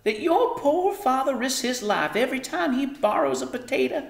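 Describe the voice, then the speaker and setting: Man putting on a shrill, nagging little storybook mother. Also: expressive, moderate pace, creaky, roomy sound, full character voice. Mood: stern, indignant. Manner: exasperated, nagging, rustic nonstandard grammar. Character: scolding, fussy, hot-tempered tiny mother in storybook.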